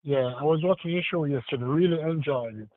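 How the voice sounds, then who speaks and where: A man's voice: a little high, fairly quick. A man with a heavy Jamaican accent calling in to a political talk stream, earnest and a little apologetic. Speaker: caller. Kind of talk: phone-in political chat. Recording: tinny phone line.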